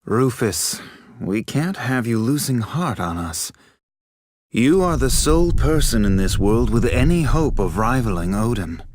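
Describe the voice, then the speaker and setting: An earnest, dignified fantasy game counselor, speaking slowly and formally. His mid-range voice is measured and persuasive, in a dry recording. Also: mid-range voice, darkish tone, dry recording.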